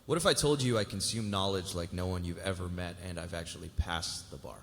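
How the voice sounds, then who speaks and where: A man acting a quick-witted, nervy young man on the run in a live script read. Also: low-pitched, vocal fry, fairly bright tone. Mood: cocky. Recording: noisy hall with long reverb.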